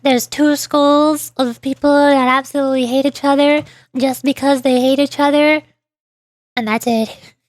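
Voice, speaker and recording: A woman's voice: high voice, steady tone, unhurried, no room sound. A woman streaming as a VTuber, giving casual, playful and informal commentary on a game story. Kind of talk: casual game playthrough with read-aloud dialogue and commentary. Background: faint music.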